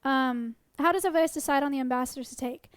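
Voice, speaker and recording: A woman in her twenties chatting casually on a livestream, answering questions about sanctuary animals with lots of ums and restarts, while her voice is going hoarse. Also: high-pitched, fast, no echo, studio quality. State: losing her voice.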